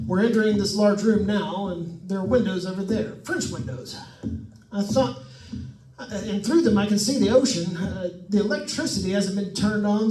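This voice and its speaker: High-voiced, wisecracking radio ghost-hunt host played by a man. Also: a little mellow, lightly acted. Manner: wisecracking, folksy asides to listeners. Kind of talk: old-time radio drama acting. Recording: echoey room, distant microphone.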